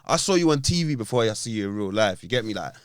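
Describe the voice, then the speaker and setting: A man co-hosting a podcast chats in heavy street slang and keeps cutting in with hyped little interjections. His voice sits in an average pitch range. The recording is close and dry. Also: expressive, fast talker, smooth, no echo. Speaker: podcast co-host. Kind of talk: casual podcast chat.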